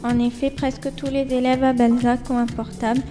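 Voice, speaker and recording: A woman from Egypt, a student guest, reading a prepared personal account carefully and in a measured way. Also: a little high, little pitch movement, over music.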